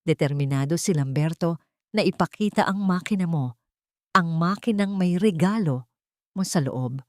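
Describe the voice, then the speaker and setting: A woman voicing a gentle, protective male executive in a narrated love drama, soft-spoken and earnest. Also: fairly low pitch, moderate pace, no echo, studio quality, natural voice. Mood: serious. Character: gentle, protective man, admiring and serious executive.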